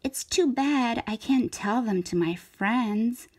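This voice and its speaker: Woman playing a slow-talking, restless little storybook shape. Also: a little high, slightly rough. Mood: eager, pleased. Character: restless, dissatisfied cartoon shape, eager for more.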